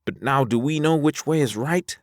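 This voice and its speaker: A man voicing a weary, philosophical spaceman in a science fiction audiobook, folksy and excitable as he reasons aloud. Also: on the high side, normal speed, no echo, studio quality, pitched above the performer's own voice, lightly acted. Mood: insistent. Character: high-voiced drawling spaceman, weary, resigned, philosophical castaway.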